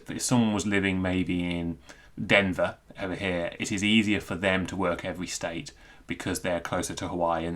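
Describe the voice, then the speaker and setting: Very deep-voiced British man explaining. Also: fairly bright tone. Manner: conversational, digressive, thinking aloud. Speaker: amateur radio hobbyist and programmer presenting a talk. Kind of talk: informal unscripted technical explanation.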